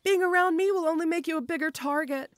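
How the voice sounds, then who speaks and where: Woman playing a high-voiced, shy tomboy orc girl. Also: dry recording. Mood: apologetic, timid. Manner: halting, timid, stammering, apologetic. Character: shy, awkward, muscular tomboy orc schoolgirl. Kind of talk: roleplay audio acting addressed to the listener.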